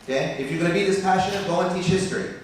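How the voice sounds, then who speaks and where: A man's voice: rapid-fire, distant mic, crowd noise. Passionate American man in an echoey hall. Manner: passionate, rising in pitch when animated. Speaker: biology professor. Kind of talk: conference talk. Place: conference hall.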